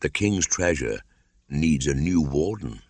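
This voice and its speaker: A man's voice: rumbling low, animated, unhurried, darkish tone, no echo, full character voice. A man voicing an earnest young jungle boy, speaking in a raised, eager voice. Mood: firm.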